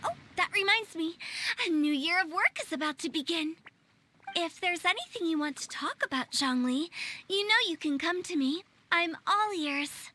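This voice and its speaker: Very high, bright, perky young director. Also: female voice, moderate pace, slight background noise. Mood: caring, chatty. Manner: chatty, teasing, theatrical. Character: playful, teasing, perky young woman funeral parlor director. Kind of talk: video game voice acting. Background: faint music.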